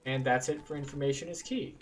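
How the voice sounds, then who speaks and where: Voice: mid-range voice, fairly even intonation, quick pace, far from the mic, slight background noise. A gameplay guide host giving casual walkthrough commentary on a video game.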